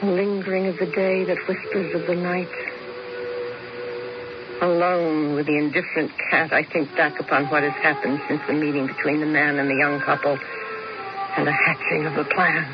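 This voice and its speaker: An actress playing a cool, commanding schemer who narrates in a deliberate, theatrical 1970s radio drama style. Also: female voice, deep voice, little pitch movement, natural voice. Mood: brooding. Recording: dull, noisy 1970s radio recording.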